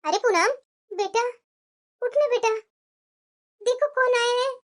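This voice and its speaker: A woman's voice: very high-pitched, slow pace. Woman as a nagging cartoon neighbour aunt. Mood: scolding, concerned. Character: scolding, concerned neighbourhood aunt. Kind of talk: Hindi moral-story cartoon dialogue.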